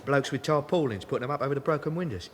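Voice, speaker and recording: A man's voice: mid-range voice, rapid-fire, a little hiss or hum, natural voice. An actor playing a chatty, joking male police detective in a radio drama, casual and jocular. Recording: long reverb, faint background ambience.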